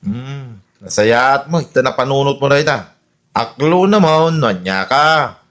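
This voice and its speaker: A man's voice: medium pitch, lively intonation, a little slow, a bit bright. A man playing a nagging, scolding husband with an Ilocano Filipino accent in a radio comedy drama. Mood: admonishing. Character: nagging husband scolding his vain wife.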